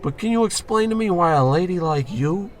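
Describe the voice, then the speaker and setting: A man playing a brash, dim, excitable barfly with slangy, cocky talk in a 1940s radio comedy style. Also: high-pitched, fairly quick. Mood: flustered. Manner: slangy, cocky, easily flustered.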